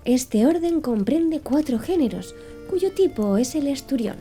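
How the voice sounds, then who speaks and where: A woman's voice: sits high, lively intonation, measured pace, warm tone, faint music behind, voice pushed up. A woman doing a pedantic young manservant. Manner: impassive, reciting lists precisely. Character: pedantic young male servant naturalist.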